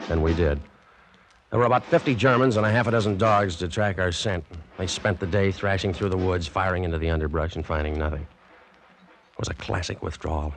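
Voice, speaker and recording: A man acting a tough, weary American army lieutenant in a 1940s radio drama, clipped, commanding and hard-boiled. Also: deep voice. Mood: wry, measured. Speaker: lead actor and first-person narrator. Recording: noisy vintage radio recording.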